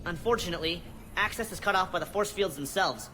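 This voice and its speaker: A woman's voice: fairly low pitch, fast talker, bright, forceful tone, soft background music. A woman playing a businesslike technical operative in a video game, reporting in a precise, factual way. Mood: matter-of-fact. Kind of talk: video game cutscene acting.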